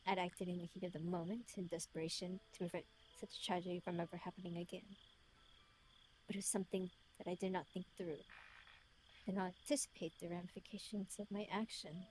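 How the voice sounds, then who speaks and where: A woman voicing a lonely, remorseful man with a British accent, reading his confession in a solemn, literary, measured way. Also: on the low side, flat delivery, a little mellow, a little hiss or hum, close to an everyday voice, rough recording.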